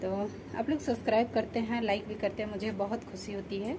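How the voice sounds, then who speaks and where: Casual young woman talking to her followers, friendly, mid-pitch voice, noisy phone audio. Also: little pitch movement, fairly quick. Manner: friendly, chatty, asks viewers to comment. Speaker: village vlogger. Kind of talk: casual chat to viewers. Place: cattle shed, indoors. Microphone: phone camera held close. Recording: noisy phone recording in a shed.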